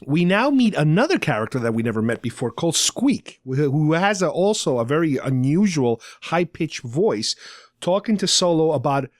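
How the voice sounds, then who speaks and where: Higher-voiced man in casual fan talk. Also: sing-song, moderate pace, dry recording, clean studio sound. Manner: conversational, paraphrases dialogue in loose asides. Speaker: podcast host. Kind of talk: casual fan discussion, recapping a radio drama.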